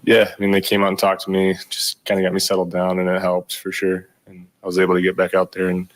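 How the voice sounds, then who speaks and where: A young man, a rookie pitcher, answering postgame questions in a modest, low-key way with many ums. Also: completely flat delivery, fast talker. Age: young adult. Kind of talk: postgame media interview.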